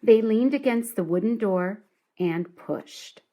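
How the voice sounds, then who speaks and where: A woman reading a children's story aloud in an expressive, deliberate and dramatic storytelling style. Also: medium pitch, poor audio.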